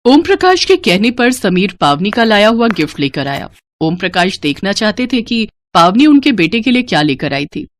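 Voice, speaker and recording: A woman's voice: medium pitch, big pitch swings, moderate pace, hardly any pauses, a little hiss or hum. A woman reading a scripted story as its narrator.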